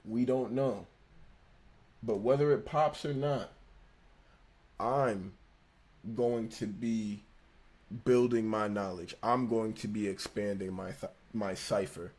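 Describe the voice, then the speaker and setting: Young man, slight lisp, low voice, mellow tone, laid-back, livestream textbook reading. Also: steady tone, slow, pauses often. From United States. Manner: laid-back, slangy, stumbles and rereads lines. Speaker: livestream host studying engineering.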